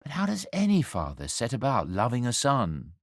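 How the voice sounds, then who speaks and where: An actor playing an angel who narrates a radio drama in a measured, wry and confiding way, speaking directly to the listener as a compassionate storyteller. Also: male voice, medium pitch, huge pitch swings, dry recording, studio quality. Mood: tender, reflective.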